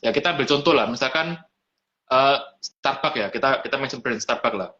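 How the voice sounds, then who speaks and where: A man's voice: medium pitch, fairly quick, pushed and bright. A man, a restaurant owner explaining his business in an easygoing chat, sprinkling in English business words. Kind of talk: casual interview chat. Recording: lo-fi live stream audio.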